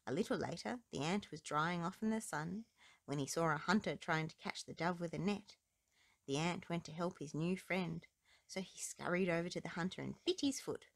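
A woman reads children's stories aloud in a slightly low voice. There is noticeable fry in her tone. The indoor recording is close and dry. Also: moderate pace, no echo. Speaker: storybook reader. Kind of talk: read-aloud children's story narration.

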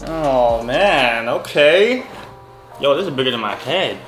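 A young man chats excitedly through a tool review, dropping slang and laughing as he goes. His voice sits at an ordinary pitch and rings around a bare garage. Music plays behind him. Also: slow. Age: young adult. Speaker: car and tool vlogger. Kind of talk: casual product review. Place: home garage with cars. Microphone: camera on desk, seated facing it. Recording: echoey garage with background music.

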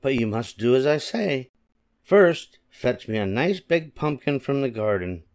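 A man voicing a plump, kindly little fairy godmother who gives gently bossy instructions in a storybook. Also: mid-range voice, very animated, unhurried, pitched above the performer's own voice. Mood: brisk, instructing. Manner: kind, instructing. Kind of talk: storybook character voice.

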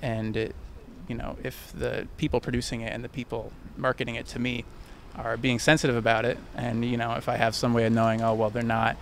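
A young man answers questions on a city street, speaking into a mic held out to him. His voice stays level and flat as he thinks aloud and restarts sentences. Faint traffic noise runs behind. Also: medium pitch, a little fast, vocal fry, hardly any pauses. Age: young adult. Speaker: passerby. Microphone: handheld mic held by interviewer.

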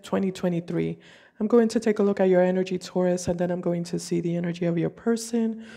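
A woman reading tarot cards for her viewers while fighting a cold, speaking slowly and deliberately at her table at home. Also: medium pitch, creaky, dark tone. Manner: slow, deliberate, intuitive. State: has a cold. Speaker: tarot reader.

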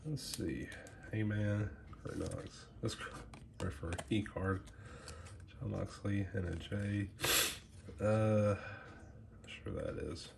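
Nasal low-voiced man with a head cold. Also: slow pace, creaky, long pauses. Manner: relaxed, rambling, reads names off cards. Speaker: card collector unboxing trading cards. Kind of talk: casual unboxing commentary. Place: indoors at a small table, close to the camera. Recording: loud crinkling wrapper noise.

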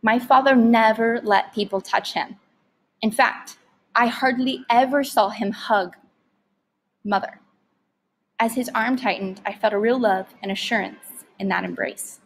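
A woman's voice: a little high, fairly even intonation, a little slow, pauses often, a little hiss or hum. A woman reading a story aloud expressively, like a teacher narrating to students.

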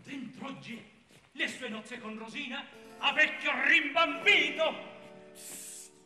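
A man's voice: slow pace, bright, forceful tone, soft background music, full character voice. A male opera tenor playing a young lovesick nobleman, singing a serenade. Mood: eager. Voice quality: high lyric tenor, ardent. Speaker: opera singer. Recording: echoey hall with orchestra.

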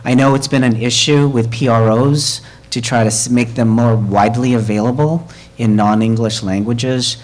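A man's voice: medium pitch, steady tone, normal speed, a little mellow. A man chairing a committee at a hybrid meeting, talking conversationally with fillers and restarts.

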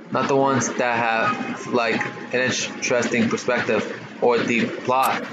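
A man's voice: medium pitch, highs cut off. A man streaming a game, reading its text aloud, stumbling and restarting over words, with slangy asides. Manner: stutters on some words. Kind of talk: casual let's-play reading of game text. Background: loud game music.

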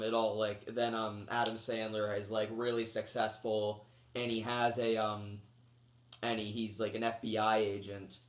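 A man around thirty reviewing a movie in a loose, rambling way, full of fillers and restarts, while getting over a cold that makes him cough. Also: lowish voice. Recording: echoey room.

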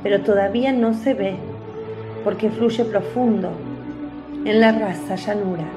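A woman's voice: medium pitch, fairly even intonation, measured pace, music in the background. A woman reading scripted narration for a biblical radio drama.

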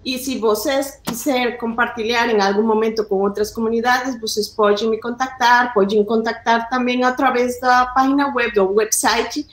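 A woman's voice: a little high, steady tone, fairly quick, few pauses, a little hiss or hum. Upbeat woman, echoey video call. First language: Spanish. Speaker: community organizer. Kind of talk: welcoming address on a video call.

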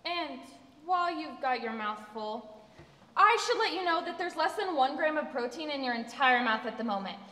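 A woman's voice: high-pitched, lively intonation, normal speed, sharp tone. A woman acting a preachy, anxious, health-obsessed friend in a comic stage scene, speaking with fussy, earnest emphasis. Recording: echoey hall, distant microphone.

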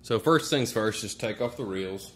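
A man's voice: lowish voice, animated, moderate pace, a bit bright, breathy edge. A man giving a casual how-to narration in an informal, folksy way, thinking aloud as he goes, recorded in a room at home. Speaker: DIY demonstrator.

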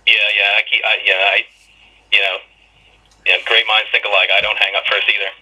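A man's voice: on the low side, level tone, brisk, pushed and bright. A man working as a store clerk, talking casually and patiently over the telephone, matter-of-fact. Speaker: video game store clerk. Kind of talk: casual phone conversation. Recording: harsh, raspy telephone line.

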